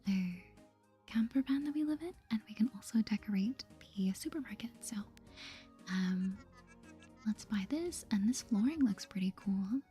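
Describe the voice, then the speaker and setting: A woman softly whispering an ASMR game playthrough in a gentle, playful way, reading dialogue aloud and thinking out loud. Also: a little high. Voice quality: breathy, mostly whispered. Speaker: gaming video host.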